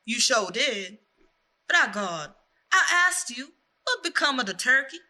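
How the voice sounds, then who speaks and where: A woman's voice: fairly high pitch, very animated, unhurried, pushed and bright, heavily acted. Woman acting piercing, bossy Southern town boss. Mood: impatient, threatening. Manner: scolding, demanding, exclamatory. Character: exasperated, bossy male Southern town boss demanding answers. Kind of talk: theatrical dialect comedy.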